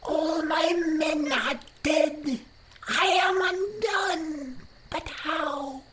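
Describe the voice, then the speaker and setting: An actor playing a defeated alien slaver villain who pleads theatrically in a science-fiction radio play. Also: male voice, very high-pitched, drawn-out words, heavily acted. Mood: despairing. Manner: melodramatic, beseeching. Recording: noisy, with loud effects behind.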